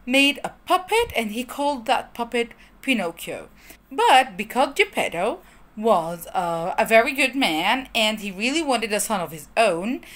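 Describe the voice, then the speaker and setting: Storyteller woman, slow and very expressive, a little high, children's read-aloud. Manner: animated, addressing children.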